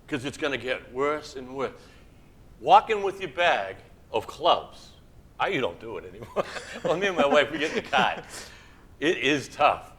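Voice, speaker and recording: A man's voice: mid-range voice, sing-song, normal speed, fairly bright tone. A man in his sixties hosting a casual interview, chatty and encouraging, rambling between thoughts. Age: 60s. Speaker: show host.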